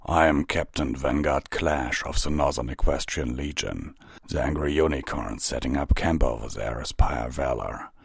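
A stern, patient stallion captain in armor, voiced by a man reading a storybook, with a very low voice. He speaks in a measured, authoritative yet gentle way, sounding close and dry. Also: gravelly, husky, dry recording, heavily acted. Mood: patient, formal.

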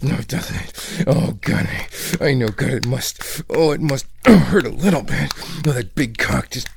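A man performing an intimate roleplay monologue to a listener, breathy and full of moans and exclamations. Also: mid-range voice, animated, unhurried, slight background noise. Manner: breathy, moaning, heavy exclamations.

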